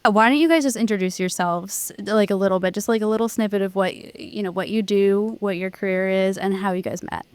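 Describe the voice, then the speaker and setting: A woman's voice: mid-range voice, steady tone, quick pace, hardly any pauses, smooth, dry recording. A woman co-hosting a music interview podcast, asking her guests questions in a casual, curious way. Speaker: podcast co-host.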